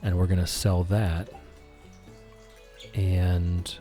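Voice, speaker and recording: Deep, mellow man streaming softly. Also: level tone, extremely slow, faint music behind. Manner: gentle, friendly, chatting with viewers. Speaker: game streamer, host. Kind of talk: calm, soothing livestream chat over gameplay.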